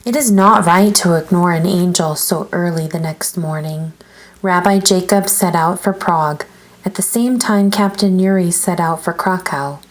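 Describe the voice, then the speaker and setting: A woman narrates a children's story in a slightly low voice. Her storytelling is expressive, with some drawn-out phrases. There is faint noise in the recording.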